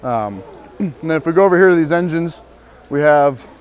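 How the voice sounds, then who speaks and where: A young man from northern Colorado, a student casually showing visitors around a large diesel workshop, pausing often with ums and restarts. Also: fairly high pitch, expressive, darkish tone. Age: young adult. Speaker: student giving a shop tour. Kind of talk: walking tour guide talk.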